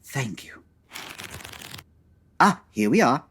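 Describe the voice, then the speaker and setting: A woman playing a pedantic male Victorian detective in a comedy audio drama, with a British accent and formal, exacting, husky delivery. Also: a bass voice, very animated, slow, fairly bright tone, a little hiss or hum, heavily acted. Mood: composed, formal.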